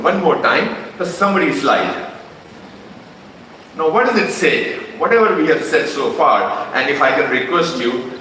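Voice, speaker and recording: A man's voice: mid-range voice, sharp tone, a little hiss or hum. A man with an Indian accent, a company executive giving a loose, conversational presentation at a car launch, often restarting his sentences. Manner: conversational, with frequent restarts and fillers. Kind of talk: unscripted presentation speech. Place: large launch event hall. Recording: echoey hall from a distant mic.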